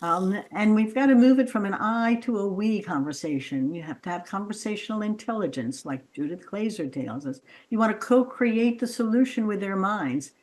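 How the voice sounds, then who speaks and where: A woman's voice: mid-range voice, animated, normal speed, hardly any pauses, darkish tone. Woman host, explanatory and thoughtful. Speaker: podcast host and coach. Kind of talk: interview conversation.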